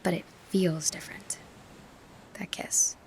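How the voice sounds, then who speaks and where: A woman's voice: slow pace, a little mellow, whispered, close to an everyday voice. A woman playing a wistful young adult back home from university, speaking in a reflective, confessional radio drama monologue. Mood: troubled, tender.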